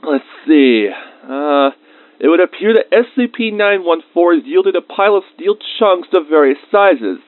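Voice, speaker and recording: A man's voice: on the high side, expressive, moderate pace, slight background noise, natural voice. A man playing an easily irritated researcher, dictating lab log entries as scripted narration in an audio drama. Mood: eager, curious. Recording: muffled, band-limited close dry recording.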